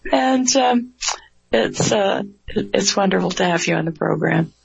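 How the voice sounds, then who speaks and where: A woman hosting a radio interview show. She speaks slowly and warmly, with hesitant fillers and frequent laughter. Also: mid-range voice, animated, creaky. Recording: dry, dull lo-fi radio broadcast.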